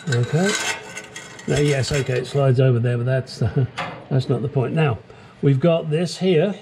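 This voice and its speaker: A man's voice: mid-range voice, unhurried, background noise. A man talking casually as he works under a car in a home garage, explaining each step, swearing now and then and cracking crude jokes, picked up by a small action camera. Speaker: DIY car mechanic. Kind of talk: casual how-to narration while working.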